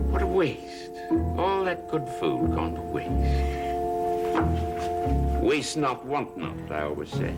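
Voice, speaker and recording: An actor in a gothic soap opera playing a controlling husband and stepfather who hides threats behind gentle words. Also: fairly low pitch. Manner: smooth-tongued, veiled threats. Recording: dramatic music under the dialogue.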